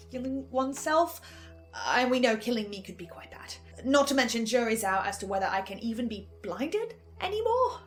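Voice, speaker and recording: A woman playing an anxious psychic archivist who thinks aloud haltingly in an audio horror drama monologue. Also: a little high, natural voice. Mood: thoughtful worry. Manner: hesitant, searching, stammering monologue.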